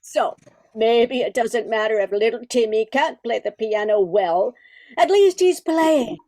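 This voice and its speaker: A woman's voice: on the high side, animated, unhurried, darkish tone. A woman playing a wistful, genteel old Christmas carol come to life, with theatrical delivery in a radio play. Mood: hopeful. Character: wistful, prim old female Christmas carol sheet music.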